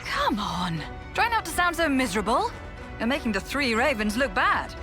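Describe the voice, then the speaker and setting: An actress playing a playful, battle-hungry commander in a video game, with theatrical, teasing, jaunty delivery. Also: female voice, high voice, sing-song, normal speed, music in the background. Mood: teasing, confident. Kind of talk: video game cutscene acting.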